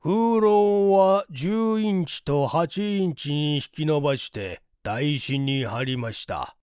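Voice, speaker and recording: A man's voice: fairly high pitch, slow pace, a little mellow, clean tone, no echo, studio quality. A man voicing a dutiful male laboratory assistant in a mystery audiobook, polite and deferential.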